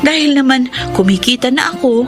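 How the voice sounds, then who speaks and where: Young Filipino niece, cheerful and affectionate, high voice, radio drama with music underneath. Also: female voice, animated, fairly quick. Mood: warm, grateful. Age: young adult. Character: cheerful, affectionate young woman tour guide, a devoted niece.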